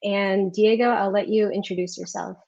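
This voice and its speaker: A woman's voice: medium pitch, fairly even intonation, moderate pace, vocal fry, a little mellow, dull audio. A woman giving a presentation in a friendly way, with frequent ums, on a video call. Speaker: research community officer. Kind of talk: presentation talk.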